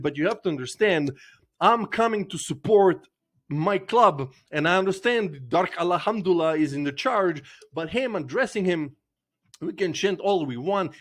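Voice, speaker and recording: A man with a somewhat high voice rants in a long monologue, swearing freely and circling back to the same points. His delivery is insistent and blunt. He speaks as a fan addressing fellow supporters. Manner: profane, repetitive, insistent. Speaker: football fan vlogger. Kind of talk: ranting monologue.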